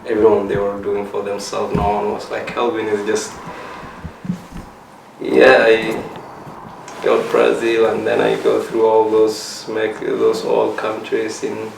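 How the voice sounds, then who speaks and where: Low, creaky young man from Somalia. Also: monotone. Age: young adult. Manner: halting, restarts often, searching for words. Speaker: interviewee. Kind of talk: personal storytelling interview. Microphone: set up mic in room. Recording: roomy, mic a little far, faint hiss.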